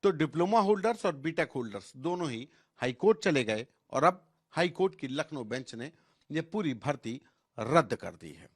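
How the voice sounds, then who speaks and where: A man's voice: a little high, sing-song, normal speed, husky. A man narrating a scripted television news report as a reporter.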